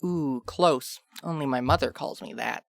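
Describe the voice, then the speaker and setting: A man's voice: medium pitch, measured pace, vocal fry, no echo, studio quality. A man playing a cocky, unbothered captured revolutionary in an audio fan fiction, flippant and sarcastic. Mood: amused. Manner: flippant, laid-back, sarcastic.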